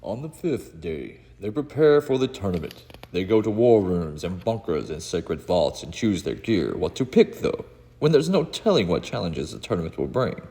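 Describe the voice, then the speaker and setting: A man narrating a comic book read-along in a dramatic, solemn, theatrical way with deliberate pauses. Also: sits low, very animated, warm tone. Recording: long hall reverb.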